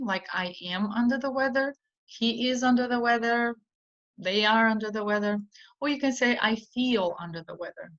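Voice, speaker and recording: A woman's voice: mid-range voice, a bit bright, dull audio. Woman teaching an English idiom. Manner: explanatory, frequent fillers and restarts, reads example sentences aloud. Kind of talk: unscripted idiom lesson for adult learners.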